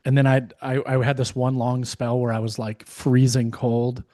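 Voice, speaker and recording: A man co-hosting a podcast, hoarse and losing his voice, talking in a casual, rambling way with many fillers and restarts. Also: medium pitch, little pitch movement, normal speed, warm tone, no room sound, clean studio sound. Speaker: podcast co-host. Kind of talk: casual chat.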